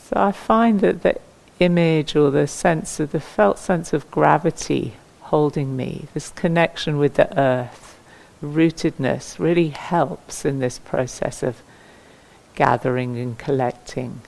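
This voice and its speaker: A woman leading a guided meditation as a teacher, speaking gently and leaving long pauses between phrases. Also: sits low, vocal fry, rough recording.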